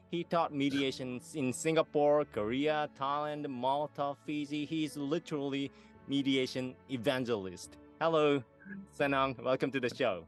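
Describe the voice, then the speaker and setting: A man in his thirties or forties hosting an interview show, introducing and questioning a guest. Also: mid-range voice. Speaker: interview host. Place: small indoor music room.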